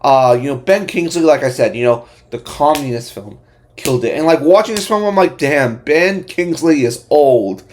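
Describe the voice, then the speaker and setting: A man hosting a casual movie review, speaking bluntly in a halting, repetitive way with frequent restarted phrases. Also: fairly high pitch, expressive, darkish tone, a little hiss or hum. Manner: opinionated, blunt. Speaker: host of a movie review channel.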